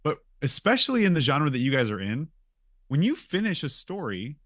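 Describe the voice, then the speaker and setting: A man's voice: a little high, big pitch swings, a little fast, fairly bright tone, no echo, clean studio sound. A man hosting a casual podcast, speaking informally, jumping in and acting out imagined quotes as he makes his point. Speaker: podcast host.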